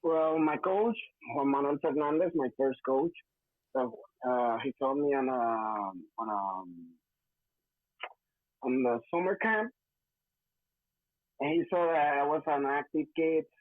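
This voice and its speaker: A young Puerto Rican man talks haltingly, searching for English words between fillers. His voice comes through thin and phone-like, with a dry, close sound and no room around it. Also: a little high, dry recording. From Puerto Rico. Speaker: interview guest, wrestler. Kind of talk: casual interview answers.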